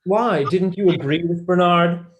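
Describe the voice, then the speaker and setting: A man's voice: high-pitched, a little slow, background noise. A young man, a student actor, playing a wry, dry-witted mathematician in a staged play reading. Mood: dejected. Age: young adult.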